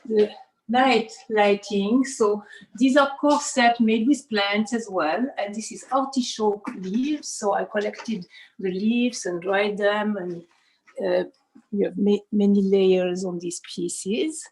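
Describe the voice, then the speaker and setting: A woman's voice: medium pitch, steady tone, slow pace, a little mellow, poor audio. A woman from Switzerland gives an informal explanatory studio tour as an artist and costume designer, often searching for words and adding "you know".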